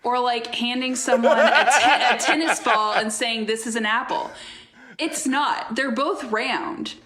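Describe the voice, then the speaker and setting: Female guest host, higher register, slow delivery, dryly humorous and conversational. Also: fairly bright tone. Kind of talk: casual chat.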